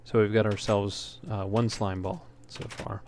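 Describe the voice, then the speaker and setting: A man giving casual gameplay commentary in a halting way, as if thinking aloud while he plays. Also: lowish voice, flat delivery, a little mellow. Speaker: let's player, host. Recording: clear background noise.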